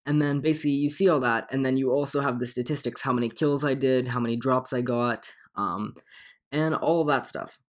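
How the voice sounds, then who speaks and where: A slightly hoarse young man with a cold, talking casually over game footage as a channel host, rambling with frequent ums and restarts. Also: mid-range voice, a little fast. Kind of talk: casual gameplay commentary.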